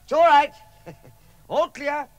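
An actor playing a fussy, timid, good-hearted hobbit burglar in a radio drama, with a British accent and a stammering, hesitant manner. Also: male voice, very high-pitched, takes it slowly. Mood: cheerful, flustered. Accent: British English.

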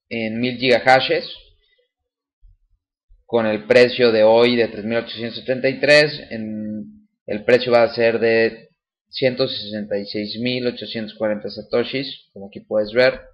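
A man's voice: mid-range voice, fairly even intonation, a little slow, muffled recording. A man casually explaining a website step by step, with fillers and restarts. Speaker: channel host demonstrating a website. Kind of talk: casual screen-recorded tutorial.

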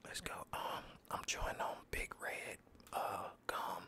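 A tired man rambling in a soft, mostly unvoiced whisper over a game, close to the mic, trash-talking enemies as he plays. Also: unhurried. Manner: rambling, laid-back, trash-talks the game. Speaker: gaming channel host. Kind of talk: whispered gameplay commentary.

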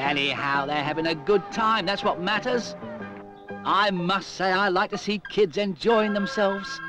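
Cheeky high-pitched robin. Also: male voice, a little slow, over loud music. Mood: cocky, cheerful. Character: cheeky, cocky, naive little male cartoon robin. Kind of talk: 1970s public information film cartoon acting.

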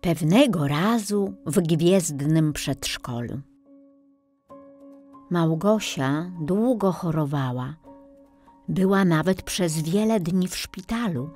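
A woman narrating a scripted children's bedtime story in a gentle, expressive storytelling manner. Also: deep voice. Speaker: audiobook narrator.

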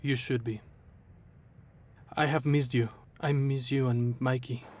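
A man voicing an ex-partner softly pleading to be heard. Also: mid-range voice, steady tone, a little slow, close to an everyday voice. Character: pleading ex-partner calling back.

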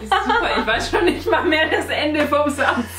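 A young woman joining a casual chat as a learner, amused and quick to laugh. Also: high-pitched, big pitch swings, recorded from a distance. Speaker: guest learner. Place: indoor room, on a couch. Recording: roomy room sound with music.

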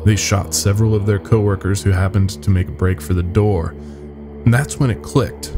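A man's voice: fairly low pitch, fairly even intonation, normal speed, over music. A man narrating a scary story in the first person as a podcast storyteller, with dry, wry asides.

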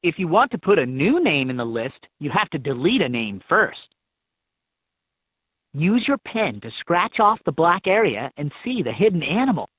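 A man's voice: fairly high pitch, very animated, normal speed, breathy edge, no room sound. A man voicing an upbeat helper who gives scripted instructions in a children's educational game. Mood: upbeat, instructive. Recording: tinny, band-limited handheld game audio clips.

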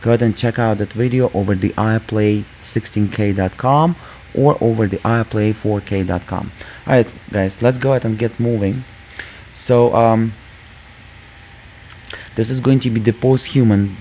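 Squeaky mellow guy talking over pc gameplay, um and uh a lot, lowish voice, faint hiss behind. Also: steady tone, moderate pace. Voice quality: squeaky, just-woken voice. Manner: rambling, many fillers, addresses viewers as guys. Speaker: gaming channel host. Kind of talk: casual gameplay commentary.

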